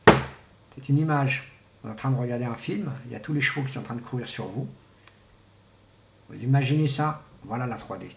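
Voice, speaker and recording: A man giving an informal, rambling monologue to viewers, speaking haltingly and often repeating words and restarting. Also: mid-range voice. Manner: often clears throat. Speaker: vlogger. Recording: echoey room with faint background noise.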